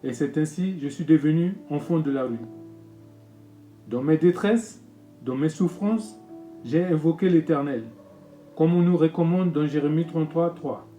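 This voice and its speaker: A man, a pastor reading his own testimony in a solemn, measured way. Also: a little high, mellow. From Congo-Brazzaville. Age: 50s. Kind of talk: read personal testimony. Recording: echoey room with music bed.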